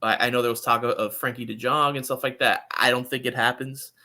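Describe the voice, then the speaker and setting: A man's voice: medium pitch, fairly even intonation, a little fast, sharp tone. A man giving opinionated, conversational football analysis in a casual podcast chat. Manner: opinionated, conversational, often checks in with "you know".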